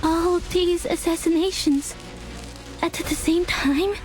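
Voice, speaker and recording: A woman's voice: very high-pitched, steady tone, slow pace. A woman playing an earnest, inquisitive young investigator in a video game. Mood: earnest, curious. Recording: loud music behind the voice.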